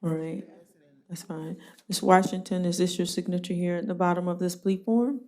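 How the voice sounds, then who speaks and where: A woman judge running a jail court hearing, brisk and plainspoken with a dry joking streak. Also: on the low side, fairly even intonation, mellow.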